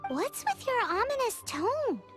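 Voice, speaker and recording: A woman voicing a tiny, cheeky, excitable fairy companion in a video game, exclaiming in a childlike way. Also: very high voice, unhurried, heavily acted. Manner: speaks of herself in third person, exclaiming. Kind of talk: video game character acting. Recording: music behind the voice.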